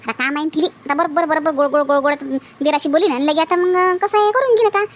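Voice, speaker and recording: A woman playing a stubborn, sharp-tongued village wife in a cartoon comedy, scolding defiantly with a Varhadi Marathi accent. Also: high-pitched, fast, natural voice. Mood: indignant.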